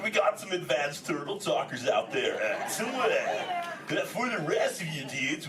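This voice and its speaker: A laid-back surfer sea turtle with a wildly swooping, drawling delivery full of exclamations and slang. He hosts a comic audience show, heard from a distance in an echoey, noisy space. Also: on the high side. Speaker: host of an interactive live audience show. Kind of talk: improvised comic audience banter. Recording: echoey, noisy hall, voice from afar.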